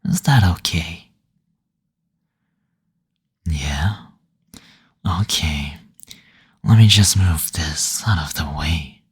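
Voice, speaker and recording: Male demon played by a man for intimate audio roleplay: low, very breathy, unhurried, tender and soothing. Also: full character voice. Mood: tender, coaxing. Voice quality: breathy, husky. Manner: slow, soothing, tender, attentive. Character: gentle teasing male demon, brothel receptionist.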